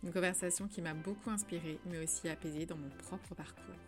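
A woman's voice: fairly low pitch, steady tone, a little fast, over music. A woman hosting a podcast, speaking informally and feeling her way through her questions in a conversational interview. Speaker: podcast host interviewing a guest.

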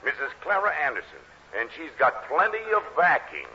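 Sharp, forceful political boss. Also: male voice, a little high, wildly swooping pitch, brisk, husky, heavily acted. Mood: worried, scheming. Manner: forceful, urgent, cynical. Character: scheming, brusque political machine boss. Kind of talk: 1940s radio sitcom acting. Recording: dull old 1940s radio recording, faint noise.

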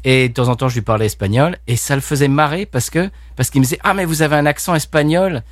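A man hosting a podcast, chatting casually and unscripted, relaxed and hesitant, laughing easily. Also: fairly high pitch, quick pace, no echo, clean studio sound. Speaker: podcast host.

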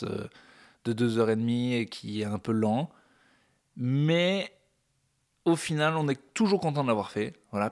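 A man hosting a casual solo podcast, rambling through topics with ironic, jokey asides. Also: mid-range voice. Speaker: podcast host.

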